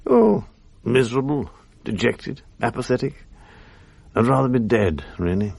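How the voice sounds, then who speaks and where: An actor playing a weary, hard-drinking, self-pitying English TV producer in a radio drama, wry, rueful and rambling. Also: male voice, medium pitch, expressive, measured pace, vocal fry, a little mellow, breathy edge, no room sound, heavily acted. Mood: dejected. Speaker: lead actor and narrator. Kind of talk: confessional radio drama monologue.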